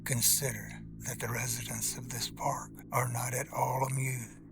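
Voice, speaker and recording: A man voicing a weary old ghostly cemetery caretaker who talks in a hushed, slow, ominous way. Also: mid-range voice, level tone, gravelly, natural voice. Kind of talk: audio drama acting.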